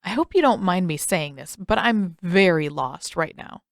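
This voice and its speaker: A woman voicing an awkward, earnest teenage boy in an audiobook, talking in a casual, halting, blunt way. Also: on the low side, lively intonation, dry recording, clean studio sound. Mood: awkward, confused. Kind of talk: audiobook character dialogue.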